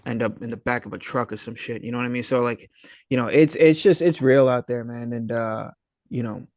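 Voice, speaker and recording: An American man in his thirties from Long Island, chatting casually as an interview guest in a halting, searching, slangy way. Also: medium pitch, a little mellow, no room sound, studio quality. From Long Island, New York, USA. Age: 30s. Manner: halting and searching, laid-back slangy, often saying "man" and "you know". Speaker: guest, actor.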